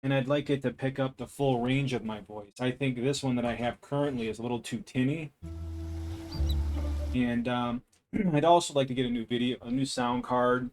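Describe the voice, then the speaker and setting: A man's voice: fairly even intonation, darkish tone, faint music behind, poor audio. Rambling man streaming from his desk. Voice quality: somewhat deep voice. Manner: rambling, thinking aloud, unhurried. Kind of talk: casual live-stream chat. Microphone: desk microphone.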